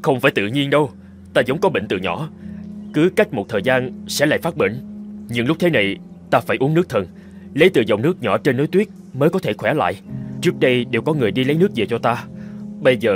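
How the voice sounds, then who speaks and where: A fast-talking male cartoon chieftain, a schemer on the run, acted by a man. His medium-pitched voice has a dramatic fairy-tale delivery. Music plays clearly behind him. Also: lively intonation, natural voice. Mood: feigned pleading.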